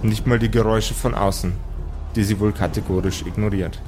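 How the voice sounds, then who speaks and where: A man narrating an improvised tabletop audio play as game master, in measured, dramatic short phrases. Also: lowish voice, fairly even intonation, music in the background.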